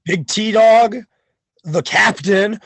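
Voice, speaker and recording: A man's voice: high voice, very slow, a bit bright, dry recording. A man talking as a wrestling guest on a podcast, boastful and hyped, full of swagger. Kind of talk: podcast chat.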